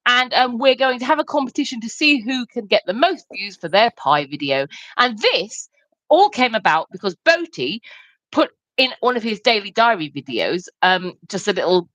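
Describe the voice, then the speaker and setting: A woman's voice: fairly high pitch, sing-song, normal speed, a bit bright, no room sound, low-quality recording. A woman from London co-hosting a livestream, cheeky and teasing, often laughing. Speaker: livestream co-host. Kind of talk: casual banter.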